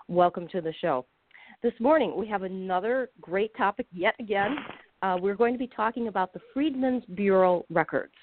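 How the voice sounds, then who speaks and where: A woman's voice: fairly low pitch, no echo. A middle-aged woman from north of Chicago hosting a radio talk show, chatty, with many fillers and restarts. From north of Chicago, USA. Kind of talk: casual radio interview. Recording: dry phone-line sound.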